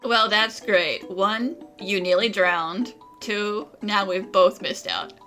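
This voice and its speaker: A woman's voice: mid-range voice, fairly even intonation, slow, sharp tone, faint music behind. A woman playing a sardonic, exasperated adult companion in a video game, with a sarcastic drawl.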